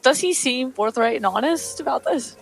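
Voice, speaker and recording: A woman's voice: high voice, animated, moderate pace, creaky. Woman in casual tabletop game talk, music behind.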